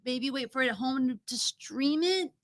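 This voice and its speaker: Woman cohost, kinda high voice, chatty opinionated review talk, argues her point. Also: expressive, a little slow. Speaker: co-host of an entertainment review show. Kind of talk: casual film and book review chat.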